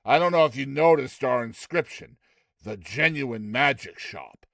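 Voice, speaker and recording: A man's voice: medium pitch, expressive, measured pace, creaky, fairly bright tone, dry recording, clean studio sound. Man acting an uncanny, courteous magic shopkeeper. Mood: sly, affable. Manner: courteous, emphatic, theatrical delivery. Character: affable, uncanny shopkeeper conjurer, courteous salesman.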